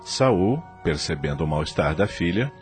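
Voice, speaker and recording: Radio drama narrator, male: lower register, highly expressive scripted storytelling over light music. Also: normal speed.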